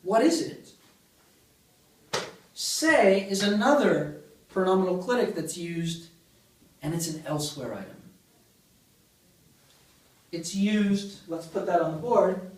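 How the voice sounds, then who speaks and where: A man's voice: sits high, animated, slow pace, long pauses, a little hiss or hum. A man in his thirties with a Greek accent giving a university linguistics lecture, explaining ideas and putting questions to the class. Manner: explanatory, asks the class questions, paces at whiteboard. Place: small classroom with whiteboard. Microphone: far camera. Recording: echoey classroom from far off.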